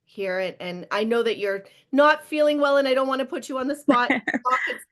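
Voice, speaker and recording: A woman's voice: sits high, brisk, a bit bright. A woman hosting a video call, enthusiastic and encouraging as she introduces a guest. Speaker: host.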